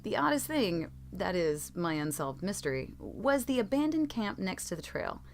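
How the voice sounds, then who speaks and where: A woman's voice: mid-range voice, big pitch swings, normal speed. A woman reading creepy first-person stories aloud as a narrator, in scripted storytelling style. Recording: clearly noisy background.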